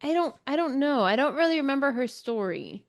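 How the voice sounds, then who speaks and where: A woman's voice: high-pitched, lively intonation, a little fast. A woman co-hosting a podcast, talking about a book in a casual, tentative and agreeable way. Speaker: book club podcast co-host. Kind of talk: casual book discussion chat.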